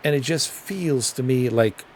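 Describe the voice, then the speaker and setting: A man's voice: mid-range voice, a little slow, darkish tone. A middle-aged man from Bosnia with a Bosnian accent, rambling through a casual gaming commentary vlog with many ums and repeats. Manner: rambling, repeats himself, frequent ums and uhs. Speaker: gaming video creator.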